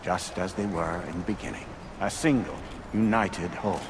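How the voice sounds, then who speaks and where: An actor playing a calm, grandiose spokesman for a merged collective of souls, speaking in measured, formal oratory in a game cutscene. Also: sits low, noisy background. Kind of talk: video game cutscene monologue.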